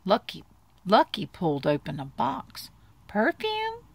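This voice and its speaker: A woman reading a bedtime storybook aloud in an expressive storytelling style. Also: on the low side, unhurried, gravelly, breathy edge, dry recording. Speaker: storybook reader.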